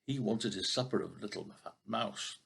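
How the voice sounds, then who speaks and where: Gravelly older man reading a children's story. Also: on the low side, normal speed. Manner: expressive with occasional stumbles over words. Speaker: grandfather reading a storybook aloud.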